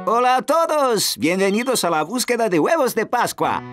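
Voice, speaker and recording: A man's voice: very high voice, very animated, moderate pace, over loud music. A man playing a jolly grown-up who announces children's games to a group in a cartoon. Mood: rousing.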